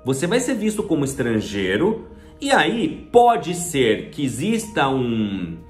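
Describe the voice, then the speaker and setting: Man from Brazil, chatty explainer, lively swinging pitch, echoey room with faint music. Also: slow. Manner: chatty, asks rhetorical questions, acts out little dialogues. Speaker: channel host. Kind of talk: explaining to the camera.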